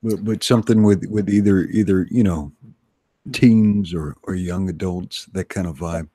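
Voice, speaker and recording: A man's voice: sits low, moderate pace, warm tone, no echo. A man chatting casually as a co-host on a livestream. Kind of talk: casual chat.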